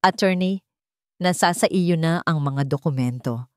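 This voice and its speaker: A woman voicing a solemn, remorseful old male hacienda patriarch in a dramatic audio storybook, speaking gravely and deliberately. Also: sits low, darkish tone, dry recording, clean studio sound. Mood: regretful.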